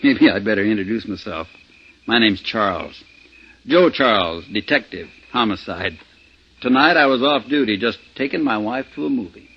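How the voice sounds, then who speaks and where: An American man playing a steady, wry off-duty homicide detective in a 1950s radio drama, plain-spoken and folksy. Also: lowish voice, normal speed, a little hiss or hum. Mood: dry, knowing. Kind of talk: 1950s radio drama, first-person narration and dialogue. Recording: muffled 1950s radio recording, faint music.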